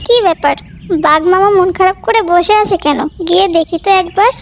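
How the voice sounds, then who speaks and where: A woman's voice: very high voice, a little fast, a little hiss or hum. A woman voicing a small, kind, helpful bird in a children's cartoon. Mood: concerned.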